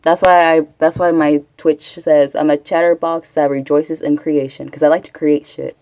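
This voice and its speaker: Woman streamer, low voice, dry close sound, rambling casual chatter to her viewers. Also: level tone, normal speed, mellow, dry recording. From Texas. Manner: chatty, rambling, answers chat. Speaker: Twitch streamer. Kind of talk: casual live-stream chat.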